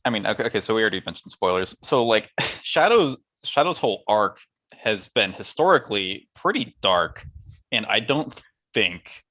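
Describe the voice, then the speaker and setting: A man's voice: on the low side, big pitch swings, normal speed, bright, forceful tone. A man co-hosting a casual talk show, chatting in an opinionated, wry way. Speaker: podcast co-host. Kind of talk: casual banter about movies.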